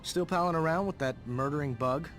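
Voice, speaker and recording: A man's voice: fairly high pitch, fairly quick, natural voice. An actor playing a chummy young man with a grudge, in a video game. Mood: bitter. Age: young adult. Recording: loud music underneath.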